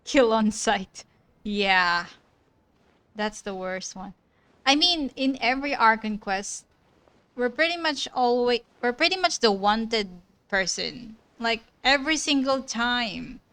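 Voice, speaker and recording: Bright, smooth-voiced woman reacting to a game. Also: fairly high pitch, animated, slow pace. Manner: chatty, laughing at the plot. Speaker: gaming streamer. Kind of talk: casual commentary over gameplay. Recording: voice over game audio and music.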